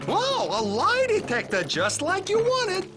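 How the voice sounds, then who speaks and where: A man voicing an excitable gadget inventor in a cartoon, giggly and eager. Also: extremely high pitch, measured pace, over loud music, exaggerated voice. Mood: excited.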